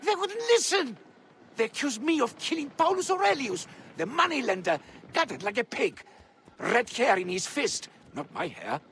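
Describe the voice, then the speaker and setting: An actor playing a scrappy, excitable male informant in a video game, pleading and theatrical in a melodramatic manner. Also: very high-pitched, moderate pace, a little hiss or hum, heavily acted. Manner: melodramatic, indignant.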